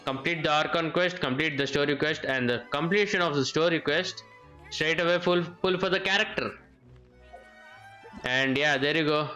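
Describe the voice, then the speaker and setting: A man's voice: on the high side, normal speed, sharp tone, rough recording. A man giving casual live game commentary, thinking aloud and often repeating his phrases. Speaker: game streamer. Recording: game music behind the voice. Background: video game music.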